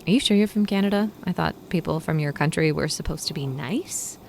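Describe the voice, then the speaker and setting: A woman's voice: low-pitched, a little fast, vocal fry, darkish tone, slight background noise. A woman voicing a guarded, wry young woman in her twenties. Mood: defensive.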